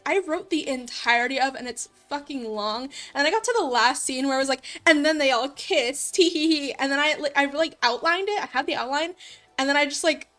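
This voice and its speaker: A woman's voice: high-pitched, a bit bright. A woman hosting a casual livestream, talking informally and a little self-consciously in a rambling way as she works. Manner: informal, rambling, self-conscious, giggly, mutters while working. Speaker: livestream host and visual novel writer. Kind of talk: casual livestream chat while coding and reading viewer comments. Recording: music playing behind the voice. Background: background music.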